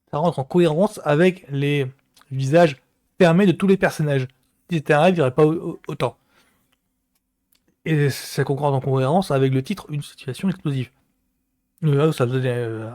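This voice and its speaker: A man giving a casual solo reaction to a film trailer, thinking aloud with many pauses and restarts. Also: fairly high pitch, darkish tone.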